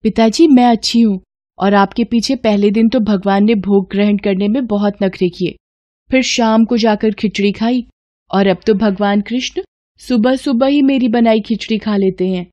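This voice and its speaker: A woman's voice: mid-range voice, normal speed, warm tone, dull audio, close to an everyday voice. A woman playing an innocent, stubborn, devout young village girl in a devotional story, earnest and simple-hearted. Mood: content. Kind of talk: Hindi devotional story acting.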